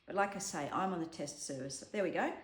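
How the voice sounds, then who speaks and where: Woman, app demo presenter, low-ish voice, casual and talkative, echoey home room. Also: fast. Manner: chatty, explanatory, frequent ums. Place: home room with a dog around.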